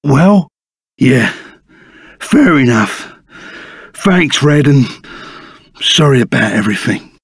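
An actor playing a burly, rough but loyal bandit sidekick in a video game, with a gravelly Cockney voice and blunt, slangy speech. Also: male voice, medium pitch, expressive, slow, long pauses, no room sound, clean studio sound, heavily acted. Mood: contrite.

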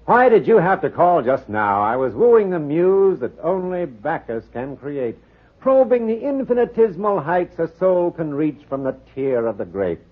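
An actor playing a florid, tipsy, fussy photographer with pompous, flowery diction in 1940s radio style. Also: male voice, fairly high pitch, sing-song, moderate pace, husky. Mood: peevish, grandiose. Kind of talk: 1940s radio comedy-mystery acting. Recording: muffled, phone-like vintage radio recording.

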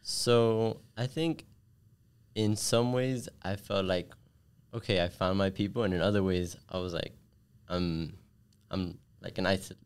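A man's voice: low-pitched, steady tone, measured pace, clear, resonant tone. A young man from Miami, a guest on a studio podcast, answering earnestly with many casual "like" fillers into a desk microphone. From Miami, USA. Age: young adult. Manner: earnest, thoughtful, frequent "like" fillers. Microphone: desk podcast mic with headphones.

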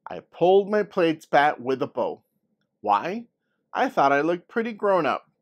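A man's voice: a little high, sing-song, a little slow. A man reading a storybook as a folksy elderly Southern grandmother telling tales of her childhood. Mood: fond reminiscing. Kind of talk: storybook read-aloud.

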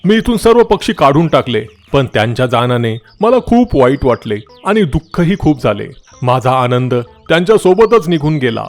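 A man's voice: mid-range voice, very animated, moderate pace, hardly any pauses. Man acting a talking tree, children's cartoon, stern, kind at heart, emphatic delivery, music behind. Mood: sorrowful, kind. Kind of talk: children's moral story cartoon voicing.

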